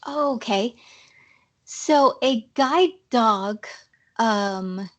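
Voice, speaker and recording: A woman with a slightly scratchy voice talks slowly as a podcast guest. She explains things in a relaxed way, pausing on ums and uhs. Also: on the high side. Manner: explanatory, uses fillers. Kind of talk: casual interview.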